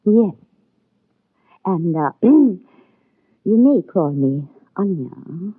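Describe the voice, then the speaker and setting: An actress playing a demure young rocket pilot and bride in a 1950s radio drama, speaking with reserved, halting politeness. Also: female voice, fairly low pitch, very animated, slightly rough, no echo, full character voice. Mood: polite, reserved. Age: young adult. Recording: muffled, tinny 1950s radio broadcast.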